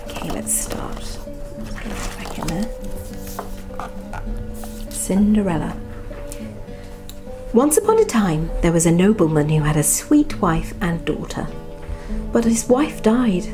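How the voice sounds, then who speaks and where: A woman reading a bedtime storybook softly and expressively, with chatty asides about the pictures. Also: medium pitch. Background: music.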